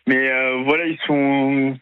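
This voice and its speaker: A young man calls in to a radio interview, stopping often on filler sounds and starting sentences over. His voice sits at an ordinary pitch. It comes through a thin phone line with a dry, close sound. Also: flat delivery, takes it slowly, smooth, no echo. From Italy. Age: 20s. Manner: hesitant, many filler sounds and restarts. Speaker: radio guest.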